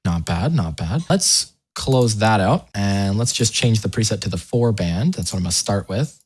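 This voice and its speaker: A man with a fairly low voice presents a software tutorial. He talks casually, as if to friends, dropping in wry asides. He often breaks off his own sentences to add something. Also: lively intonation, normal speed. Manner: informal, self-interrupting, wry asides. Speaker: tutorial host.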